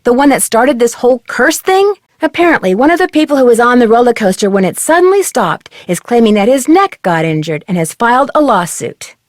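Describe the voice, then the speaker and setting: Casting: young female amateur sleuth, game dialogue. Curious and eager, conversational, higher-pitched, recorded very dry. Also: big pitch swings, fairly quick, hardly any pauses, dry recording. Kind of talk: scripted adventure game voice acting.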